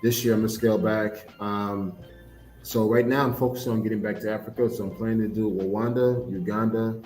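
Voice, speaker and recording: A man with a fairly low voice chats casually about his travels, often restarting with doubled words. The room is echoey and faint music plays behind him. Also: a little fast. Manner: relaxed storyteller, restarts with repeated words. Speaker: podcast co-host. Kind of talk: casual chat.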